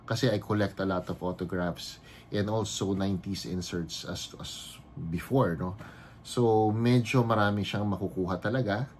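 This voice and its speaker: Filipino guy in his 50s, lowish voice, casual vlog chat with tagalog mixed in, bit of background hum. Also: a little mellow. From Philippines. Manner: mixes Tagalog into English, frequent fillers and pauses. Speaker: vlogger and card collector.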